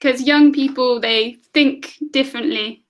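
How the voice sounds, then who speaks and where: High-pitched woman tutoring on a call. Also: fairly even intonation, a little slow, muffled recording. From England. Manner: asks lots of questions, laughs often. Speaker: online English tutor. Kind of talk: casual conversation lesson. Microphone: video call.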